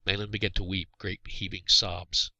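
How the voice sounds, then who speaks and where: Guy narrating a storybook, measured and dramatic, really crisp forceful tone, dry close sound. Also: low voice, level tone, no echo. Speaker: author reading own story.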